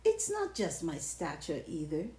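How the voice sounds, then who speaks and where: A self-admiring big cheese from a storybook, acted out by a woman, hungry for the spotlight. The delivery is pompous and theatrical, slow and savoring, with pitch that swoops widely in a roomy, echoing space. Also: wildly swooping pitch, warm tone, heavily acted. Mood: smug, showy. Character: boastful, showy big cheese craving the spotlight. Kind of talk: dramatic picture-book read-aloud.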